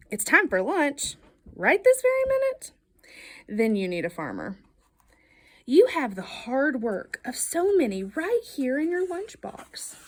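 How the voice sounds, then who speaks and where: Lady doing a kids storybook read-aloud, highish voice, really animated and expressive. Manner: expressive, emphatic storytelling delivery with playful questions. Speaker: storybook reader. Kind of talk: children's read-aloud of a picture book.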